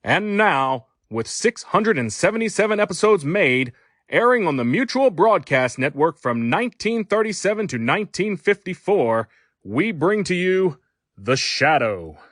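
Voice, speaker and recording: A man's voice: high voice, measured pace, no room sound, clean studio sound. A man reading a scripted introduction as a podcast host, in an announcer-like manner. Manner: announcer-like, lively.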